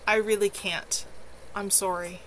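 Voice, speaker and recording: A woman's voice: medium pitch, level tone, a little slow, a bit bright, poor audio. Woman reading a story, audible background noise. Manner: amateur, unpolished narrative delivery. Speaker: author reading own novel aloud on a livestream. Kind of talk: live novel reading with casual asides. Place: at home.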